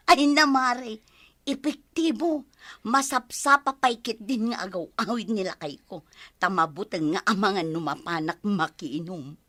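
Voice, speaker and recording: A woman playing a mischievous, loud, drunk village wife in an Ilocano radio comedy drama, wailing and cackling theatrically with an Ilocano accent. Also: mid-range voice, lively intonation, takes it slowly, long pauses, dry recording, exaggerated voice. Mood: amused, scheming.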